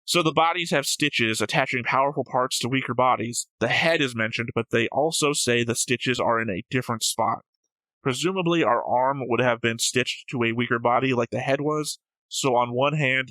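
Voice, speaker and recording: A man's voice: medium pitch, sharp tone, dry recording, rough recording. A man co-hosting a reaction show, talking casually and methodically as he explains and argues out his analysis.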